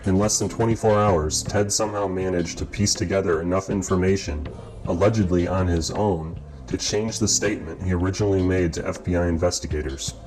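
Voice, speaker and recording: A man reading scripted documentary narration in a measured, formal way, as the narrator. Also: sits low, fairly even intonation, rough recording. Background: guitar music.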